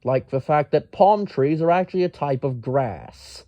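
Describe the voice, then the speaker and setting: A man telling a casual, rambling story in a pedantic, exasperated, halting manner. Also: fairly high pitch, animated, dry recording, dull audio. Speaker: storyteller, amateur science enthusiast.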